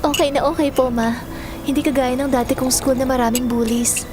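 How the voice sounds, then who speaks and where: A woman's voice: sits high, fairly even intonation, a little fast, music in the background, natural voice. A woman acting a sweet, cheerful teenage schoolgirl in a Tagalog audio drama. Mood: happy.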